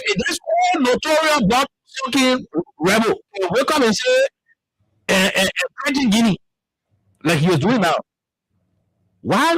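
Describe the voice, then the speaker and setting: A man's voice: very high-pitched, fairly bright tone, no room sound, low-quality recording. A man from Liberia with a Liberian English accent, hosting a radio talk show with heated political commentary and repeating words as he searches for them. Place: radio studio.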